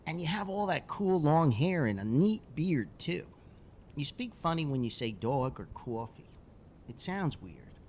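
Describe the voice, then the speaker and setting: A man voicing a curious, lonely little boy who asks eager questions in a raised, childlike pitch. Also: very animated, unhurried, a little mellow, a little hiss or hum.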